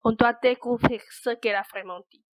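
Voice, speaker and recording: Woman acting a high-voiced, pushy, caring confidante. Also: steady tone, normal speed, natural voice. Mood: earnest, persuasive. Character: blunt, pushy but caring female confidante urging Cinderella onward. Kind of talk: French radio play acting.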